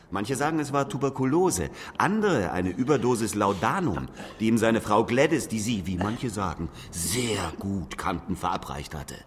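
An actor in a German radio drama playing a shrewd, sardonic escape artist, a needling skeptic with theatrical pauses. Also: male voice, lowish voice, slight background noise.

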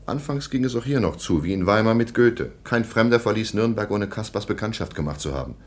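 An actor playing a stern middle-aged baron and guardian in a radio play, formal and disapproving. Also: male voice, fairly low pitch, lively intonation, fairly quick, natural voice.

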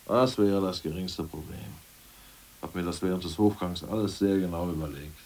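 A man playing a crude, scheming ousted party functionary in a radio play, boastful and plotting, muttering one moment and loud the next. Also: low-pitched, moderate pace, recorded from a distance. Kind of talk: 1980s radio play acting.

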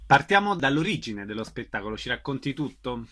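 A man hosts a radio interview in a bright, forceful voice. His pitch sits in an ordinary male range, and he asks his questions conversationally. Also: very animated, normal speed, slight background noise.

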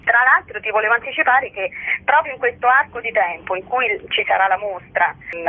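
A woman being interviewed as a guest on the radio, explaining things in an informal, somewhat hesitant way over the phone. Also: mid-range voice. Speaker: exhibition curator interviewed as guest. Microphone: telephone. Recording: muffled, band-limited telephone call.

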